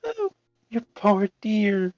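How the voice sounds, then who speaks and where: Woman as sympathetic shy girl pony, cartoon cooing, wildly swooping pitch, slightly muffled over music. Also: sing-song, takes it slowly, darkish tone, no echo. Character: timid, sympathetic, soft-hearted female cartoon pony.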